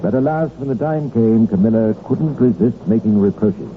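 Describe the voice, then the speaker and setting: A man's voice: medium pitch, animated. Wry male radio crime narrator, tinny recording. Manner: wry, measured storytelling. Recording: tinny, lightly noisy old radio recording.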